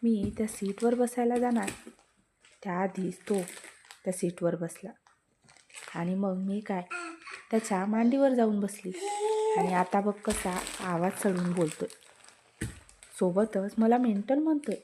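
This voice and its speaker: A woman storyteller voicing a quick-tempered, proud young college woman who snaps and scolds in a raised voice. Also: mid-range voice, steady tone, slight background noise, lightly acted. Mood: complaining. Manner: sharp, bossy, raised voice.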